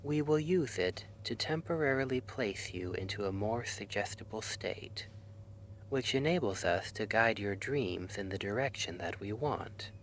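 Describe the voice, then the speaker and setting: A gentle male dream researcher, played by a man in an ASMR roleplay, reassures a patient in a fairly low, soothing voice. He takes his time with every phrase, often sinking to a whisper. A clear hum sits behind the close recording. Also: lively intonation, rough recording. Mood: reassuring, explanatory. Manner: soft-spoken, slow, soothing, often dropping to a whisper.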